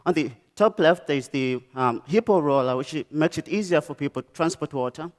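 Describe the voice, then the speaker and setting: Halting young Malawian man giving a talk. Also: a little high. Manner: halting, many "um" and "uh" pauses and restarts. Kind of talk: conference talk. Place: lecture stage.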